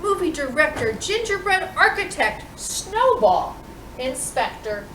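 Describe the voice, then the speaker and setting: A woman reading a children's picture book aloud in an expressive, deliberate storytelling manner with dramatic pauses. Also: high voice. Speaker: storytime reader playing Mrs. Claus. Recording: roomy, distant microphone with clear background noise.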